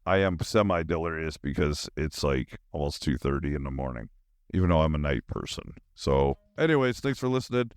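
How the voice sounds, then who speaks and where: A man's voice: very deep voice, animated, brisk, no room sound, clean studio sound. A man hosting a solo podcast in a casual, rambling monologue, with a goofy manner and silly asides.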